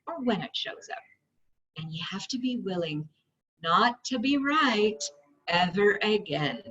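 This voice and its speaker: A woman hosting a read-along, reading a book aloud with emphatic phrasing and stretched words. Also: medium pitch. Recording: dull lo-fi audio.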